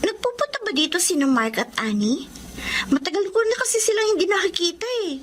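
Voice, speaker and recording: A woman's voice: very high-pitched, very animated, brisk, a bit bright, slight background noise, full character voice. An actress playing a hopeful, trusting young woman in a Filipino drama, with a Filipino accent. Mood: concerned, trusting. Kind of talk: Filipino radio-style melodrama acting.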